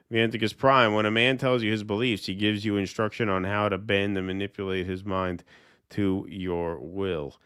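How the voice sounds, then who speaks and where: A man co-hosting a political livestream reads viewers' comments aloud and thanks them by name. His voice sits low. The sound is dry, with no room around it. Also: a bit bright, clear, resonant tone, no echo. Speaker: co-host reading viewer comments. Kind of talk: casual livestream chat.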